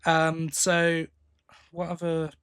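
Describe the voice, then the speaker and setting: A man with a slightly high voice talks as a podcast co-host. His delivery is casual and rambling, with hesitations and little questions tacked onto the ends of his thoughts. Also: monotone, slow pace, fairly bright tone, smooth. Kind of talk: casual chat about audio drama releases.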